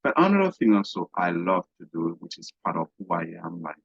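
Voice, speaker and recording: A middle-aged man from Haiti, a guest business coach talking haltingly in an interview, with many fillers and restarts. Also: low-pitched, big pitch swings, no room sound. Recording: dull, dry lo-fi sound.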